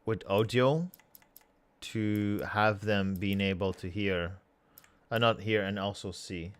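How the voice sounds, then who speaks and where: Low-voiced man streaming, halting speech. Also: steady tone, clean tone. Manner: halting, self-correcting, thinking aloud. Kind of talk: casual live-stream commentary.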